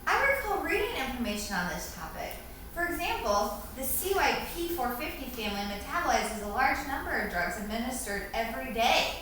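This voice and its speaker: Female co-presenter, bright sharp voice, formal recited explanation, strong room echo, faint noise. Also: high voice, very animated, brisk. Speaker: co-presenter answering questions. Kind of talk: scripted educational presentation, read out.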